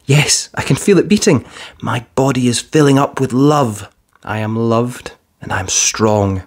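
A man voicing a kind young boy in a children's storybook, gently earnest and encouraging. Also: medium pitch, a little slow. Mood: earnest wonder.

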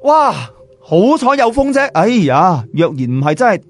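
A man voicing a sly, quick-witted man with an exclamatory, colloquial manner in an audiobook story. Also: sits high, big pitch swings, pitched above the performer's own voice. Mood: sly, exclaiming.

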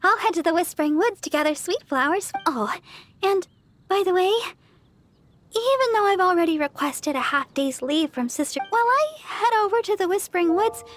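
A woman's voice: very high voice, expressive, normal speed. A woman voicing a sweet, eager, cheerful young church sister in an anime game, light and girlish, polite and chatty. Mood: cheerful, helpful.

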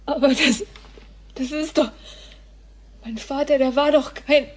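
A woman's voice: high voice, steady tone, measured pace, a little hiss or hum. An actress playing an incredulous, emotional woman, stammering and exclaiming in a science fiction radio drama. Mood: stunned, incredulous. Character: incredulous, emotional daughter of a doctor.

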